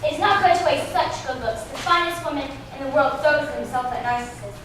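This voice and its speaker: A woman's voice: high-pitched, brisk, lightly acted. A woman playing a stern, vengeful queen of the gods in a readers theatre performance, scolding and imperious. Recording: echoey room, distant mic, noisy.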